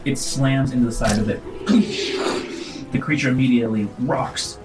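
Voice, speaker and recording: A man runs a tabletop role-playing game as game master. His voice is medium in pitch, and he builds each description in halting pieces, with frequent filler likes. Music plays clearly behind him. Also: steady tone, low-quality recording. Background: background music.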